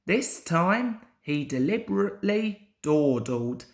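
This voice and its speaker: A young man reads a children's story aloud as an amateur storyteller. His pace is very slow and deliberate, and his expressive pitch moves about in a lively way. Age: young adult.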